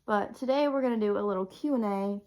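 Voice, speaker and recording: A woman's voice: mid-range voice, fast talker, a little mellow, low-quality recording. A woman in her twenties getting over a cold, sniffly, chatting casually and rambling with ums and small laughs as a video creator. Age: 20s. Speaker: content creator.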